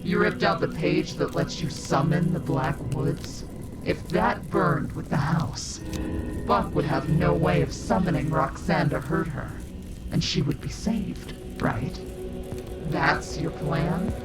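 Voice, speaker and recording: A woman playing a cold, relentless inhuman female enemy in a video game, taunting and commanding in a deliberate delivery. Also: low voice, rough recording. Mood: quiet scorn. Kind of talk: dramatic visual novel game acting. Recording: loud music over the voice.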